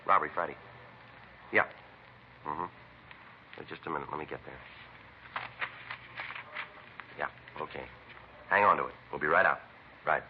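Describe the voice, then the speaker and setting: Very deep, deadpan police sergeant. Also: male voice, fairly quick, pauses often, background noise. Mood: terse, polite. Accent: American. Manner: deadpan, terse, matter-of-fact. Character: stoic, businesslike male police sergeant narrating a case. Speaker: lead actor and narrator. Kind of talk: 1950s radio police drama, clipped scripted narration. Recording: dull vintage radio recording with music.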